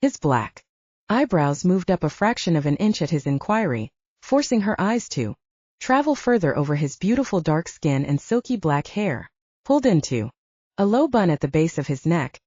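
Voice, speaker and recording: A woman's voice: fairly low pitch, sing-song, moderate pace, a little mellow, breathy edge, no echo, lo-fi sound. A woman reading romance fiction aloud as an audiobook narrator, in a scripted storytelling style.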